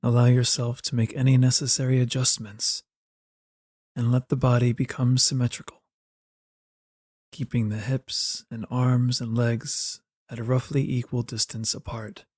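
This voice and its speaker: A man leads a guided relaxation at a very slow, unhurried pace. His tone stays soft and even, with little rise or fall, and has a dark, mellow color. The phrasing is gentle and soothing, like careful instructions for settling down. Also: medium pitch, slightly rough, no room sound. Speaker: guided meditation narrator.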